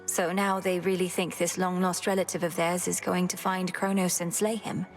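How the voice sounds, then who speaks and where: A woman voicing a composed, earnest young witch princess in a video game, with formal, measured and courteous phrasing. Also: fairly low pitch, level tone, soft background music, natural voice. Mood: wry, curious.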